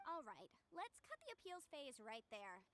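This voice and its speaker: A woman playing a brisk, assertive young female legal adviser in a video game. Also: high voice, sing-song, fairly bright tone, slight background noise. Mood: firm, confident. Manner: assertive, formal, crisp phrasing.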